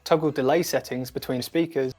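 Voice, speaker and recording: A man presenting a scripted product demo in a clear, methodical, instructional manner. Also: mid-range voice, fairly even intonation, a little fast, a little mellow. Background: background music.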